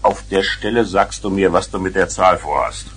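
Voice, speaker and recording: An actor playing a grumpy, easily exasperated father who blusters and scolds, in a husky voice, in a 1970s children's radio play. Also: sits low, normal speed, a bit bright, exaggerated voice. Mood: scolding.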